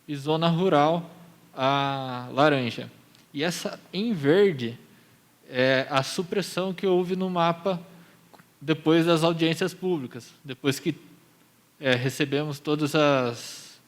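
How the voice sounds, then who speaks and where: A Brazilian man giving a measured, explanatory presentation at a public hearing. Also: a little high. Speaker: municipal planning presenter.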